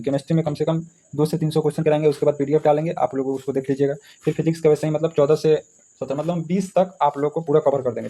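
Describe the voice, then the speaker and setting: A man's voice: mid-range voice, dark tone. A man giving an informal exam lesson as a maths teacher, explaining repetitively with frequent check-in tags.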